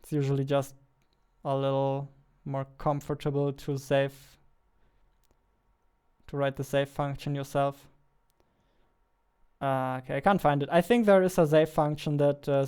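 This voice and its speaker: Man, 20s, casual streamer, smooth voice on the higher side, informal, close studio mic. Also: a little mellow. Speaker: game developer live-streamer. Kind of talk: casual live-stream chat. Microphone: close studio mic on boom arm.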